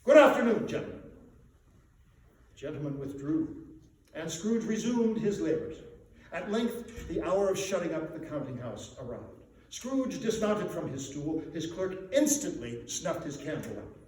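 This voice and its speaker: High-voiced male storyteller, very echoey. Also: big pitch swings, unhurried, warm tone. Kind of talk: dramatic literary reading. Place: echoing room at a live reading. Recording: very echoey room, distant mic, faint noise.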